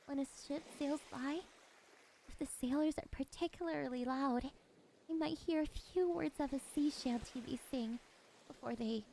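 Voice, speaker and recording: A woman playing a lonely, possessive, predatory siren in an ASMR roleplay, speaking softly and gently in a weak voice with quiet menace. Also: high voice, slight background noise. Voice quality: soft, weak voice.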